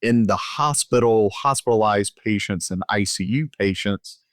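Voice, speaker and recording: A man with a low-pitched voice speaks as a physician being interviewed. He explains clinical points in a conversational way, recorded dry with very little room sound. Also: normal speed, no room sound. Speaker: physician, podcast guest.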